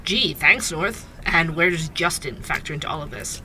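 A man's voice: a little high, lively intonation, normal speed, pushed and bright, slight background noise. A man playing a sceptical young investigative podcaster in an audio drama, speaking with a dry, wry manner. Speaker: podcast host and narrator. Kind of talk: scripted audio drama narration.

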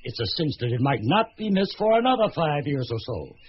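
An actor playing a covetous American entrepreneur and collector in a radio drama, brash and insistent, with an American accent. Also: male voice, a little high, sing-song, fairly quick. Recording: slightly noisy, muffled radio recording.

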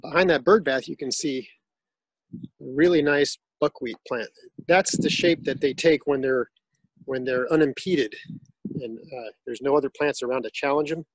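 A man giving a casual tour of his backyard garden, explaining plants in a relaxed, informative way with some fillers. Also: medium pitch, moderate pace, breathy edge, no echo, rough recording.

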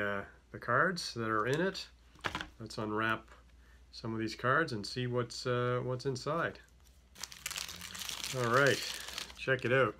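A man's voice: mid-range voice, sharp tone, slight background noise. A middle-aged man with a slight Greek accent, unboxing and explaining a card game in a chatty way, full of fillers and restarts. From Greek-speaking home. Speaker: company head presenting a product. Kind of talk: casual product unboxing. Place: small office with a yellow wall.

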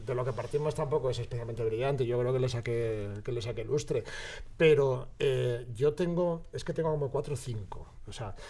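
A man's voice: mid-range voice, normal speed, mellow. A man with a Spain Spanish accent talking casually and emphatically as an interviewee in a studio. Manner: opinionated, emphatic. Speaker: radio drama creator, interviewee. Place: radio drama studio.

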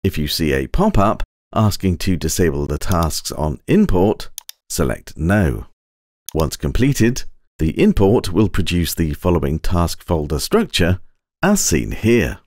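A man reading scripted instructional narration for a software tutorial, in a measured, precise, step-by-step manner. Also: low voice, sing-song. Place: close, dry voice-over recording.

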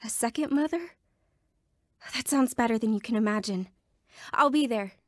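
A woman's voice: fairly high pitch, moderate pace, natural voice. An actress playing a lonely, hesitant woman who has found faith and tells her story earnestly in an audio drama. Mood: moved, hopeful. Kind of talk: audio drama dialogue and first-person narration.

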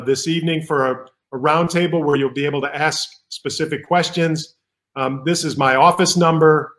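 A man's voice: fairly high pitch, a bit bright. Earnest middle-aged man on a webcam. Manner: earnest, many ums and restarts. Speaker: physician keynote speaker. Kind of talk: presentation over slides. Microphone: video call webcam.

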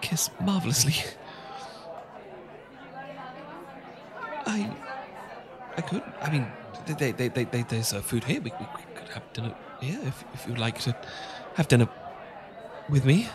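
A man's voice: medium pitch, big pitch swings, normal speed, crowd noise, close to an everyday voice. A man with a British accent playing a flustered, smitten young English professor in a romantic audio roleplay, stammering and politely old-fashioned. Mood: delighted, shy. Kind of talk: romantic ASMR roleplay acting.

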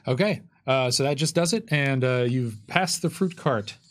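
A man's voice: medium pitch, moderate pace. A middle-aged man serves as game master at a tabletop game. He narrates and gives rulings in loose, conversational phrasing, often feeling his way through the wording. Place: indoor studio, seated at a game table.

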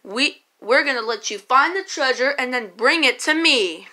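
A woman's voice: sits high, lively intonation, moderate pace, very noisy. A woman voicing a bossy, taunting, scheming old pirate king in a homemade puppet play.

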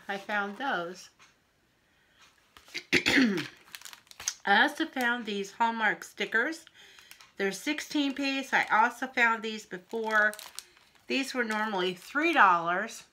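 A middle-aged woman with a Pennsylvania accent talks slowly to the camera in her home kitchen, showing off bargain finds. Her voice is bright, a little wheezy, and broken by throat-clearing. A faint hiss sits under the recording. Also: a little high. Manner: chatty, unhurried, friendly show-and-tell. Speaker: craft haul vlogger. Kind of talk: casual haul video. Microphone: camera in front at arm's length.

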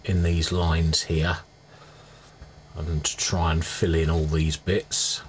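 A man's voice: very deep voice, level tone, slow. A man giving an informal hands-on demonstration, thinking aloud as he works at his bench. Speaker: restorer presenting a hobby repair video. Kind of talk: casual hands-on demonstration commentary. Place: indoor cluttered electronics workbench. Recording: clear background noise, workshop ambience.

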